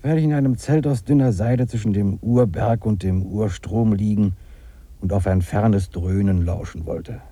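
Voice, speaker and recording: Male actor as reflective wilderness wanderer, very dark and low-voiced, philosophical, for vintage radio play. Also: normal speed, no echo, close to an everyday voice. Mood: earnest, wry. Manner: musing, philosophical. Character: reflective German wanderer seeking freedom in the wilderness. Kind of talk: 1950s German radio drama acting.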